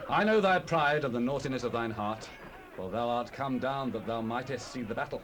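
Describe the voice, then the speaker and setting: An actor playing an angry eldest brother, stern and accusing, in a dramatized Bible recording. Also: male voice, medium pitch, a little fast, a bit bright, lightly acted. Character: angry, scolding eldest brother, adult male soldier. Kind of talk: dramatized Bible audio acting. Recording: faintly noisy cassette rip.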